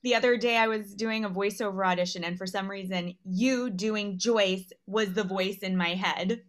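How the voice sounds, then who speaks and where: A woman with a medium-pitched voice speaks casually as a participant in a discussion. Her delivery is conversational, as in informal questions and comments. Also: fairly even intonation, normal speed.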